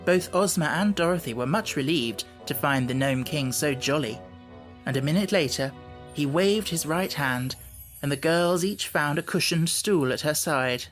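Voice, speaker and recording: A man's voice: fairly high pitch, moderate pace, a bit bright, soft background music. A man reading scripted storybook narration as an audiobook narrator.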